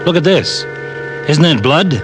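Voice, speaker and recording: An actor playing a cautious, excitable police officer, the detective's flustered sidekick, in an old-time radio drama. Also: male voice, on the high side, measured pace. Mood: nervous, eager. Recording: vintage radio recording with music.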